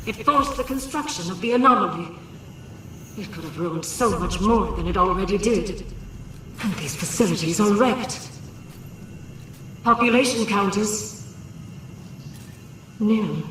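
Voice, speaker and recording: A man's voice: high voice, a bit bright, slight background noise. An actor playing a troubled, duty-bound male artificial intelligence of a space station in a video game, speaking in formal, clipped announcements with synthetic intercom processing. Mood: bleak, lonely. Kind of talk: video game character acting. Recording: very echoey, distant, music underneath.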